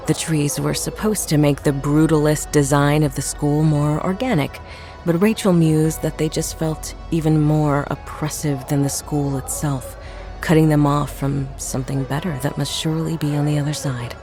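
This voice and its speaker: A woman's voice: low-pitched, fairly even intonation, normal speed, mellow. A woman giving a scripted narration of a horror audio drama. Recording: music and crowd noise behind narration. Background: music, crowd sounds.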